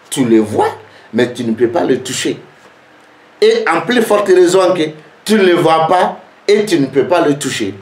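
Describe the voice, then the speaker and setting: A man teaching geomancy in French, which is not his first language, speaking slowly and repeating rhetorical questions. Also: mid-range voice, reverberant. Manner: slow, repetitive, rhetorical questions. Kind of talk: spoken lesson to camera.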